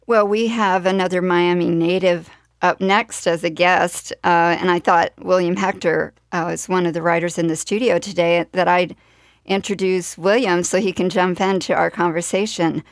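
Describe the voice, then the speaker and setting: A woman's voice: fairly low pitch, fairly even intonation, moderate pace, no room sound. A woman hosting a conversational live radio interview from a studio.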